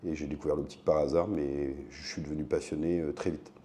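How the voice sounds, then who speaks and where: A man with a deep, extremely dark and relaxed voice. He is an interview guest from France, explaining his work as a shop owner in a casual, conversational way. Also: quick pace. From Le Mans, France.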